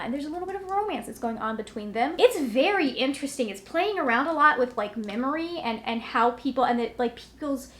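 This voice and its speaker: Woman, higher-pitched voice, casual vlog chat, rambling, conversational, self-deprecating. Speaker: book vlogger. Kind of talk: casual chatty vlog, recapping to viewers.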